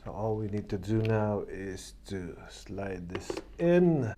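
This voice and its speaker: A man's voice: lowish voice, sing-song, slow. A man talking casually and thinking aloud as he works through a hands-on product unboxing and installation. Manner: thinking aloud while working. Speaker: product reviewer. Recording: faint background noise.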